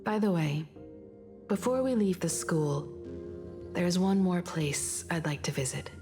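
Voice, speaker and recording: A calm, reflective game heroine. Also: female voice, lowish voice, measured pace. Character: composed adult woman recalling an old friend. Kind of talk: game voice acting. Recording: game audio over loud music. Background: game music.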